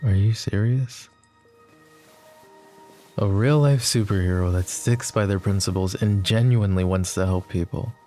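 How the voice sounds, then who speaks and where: A man playing a possessive, lovestruck mafia boss in an intimate audio roleplay, speaking softly and emotionally with halting phrases. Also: on the low side, faint music behind, lightly acted. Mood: adoring. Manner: soft, emotive, halting delivery with stammers. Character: possessive, lovestruck young male mafia boss, tender and anguished. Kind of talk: intimate roleplay ASMR acting.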